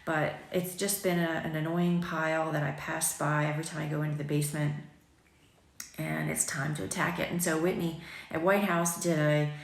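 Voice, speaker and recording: A woman in her forties, a little raspy from just waking up, chatting casually and rambling to viewers over morning tea, filmed on a phone at arm's length in her home kitchen. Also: low voice, level tone, moderate pace. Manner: chatty, rambling, self-deprecating, with frequent ums. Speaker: boutique owner vlogging. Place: sunny home kitchen, indoors. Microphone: phone camera at arm's length. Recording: echoey kitchen room.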